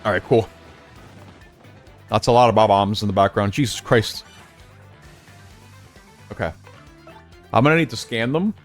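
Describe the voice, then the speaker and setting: A man's voice: lowish voice, measured pace, pauses often, soft background music. A man from Puerto Rico whose first language is Spanish, casually commenting while playing a game and thinking aloud. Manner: relaxed, chatty, thinking aloud. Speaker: streamer.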